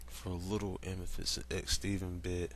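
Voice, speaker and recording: A man's voice: deep voice, flat delivery, normal speed, slight background noise. A man reading fan fiction aloud as an amateur narrator, stumbling over words and adding casual asides.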